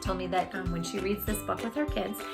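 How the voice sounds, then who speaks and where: A woman with a medium-pitched voice hosts storytime for children, with music clearly playing behind her. Her delivery is animated and gushing, addressed directly to young listeners as she chats and reads picture books. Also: a little fast. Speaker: children's storytime host and creator of the channel. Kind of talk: children's read-aloud and direct-to-viewer chat.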